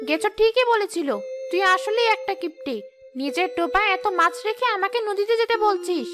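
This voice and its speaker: Very high-pitched sulky ghost wife voiced by a woman. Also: music in the background. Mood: sulky, angry. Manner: whining, scheming, quick to complain. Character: sly, sulky, greedy female ghost wife craving fish. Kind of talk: cartoon ghost-story dialogue.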